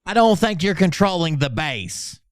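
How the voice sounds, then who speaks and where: A man's voice: sits high, big pitch swings, normal speed, no echo, clean studio sound. A man giving casual, mocking commentary as a channel host, sarcastic and given to swearing. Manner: sarcastic, profane.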